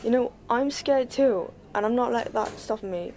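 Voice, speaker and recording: A woman's voice: fairly high pitch, normal speed, darkish tone, slight background noise, natural voice. A young woman playing a frustrated grown-up daughter who argues for her independence in radio-play drama. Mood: firm, urging. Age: young adult. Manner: exasperated, argumentative. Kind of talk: low-key dramatic radio-play dialogue.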